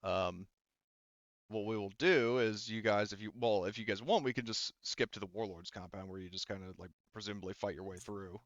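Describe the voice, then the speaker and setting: A man narrating as game master in a casual, halting, informal way, thinking aloud as he goes. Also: on the low side, steady tone, dry recording, highs cut off.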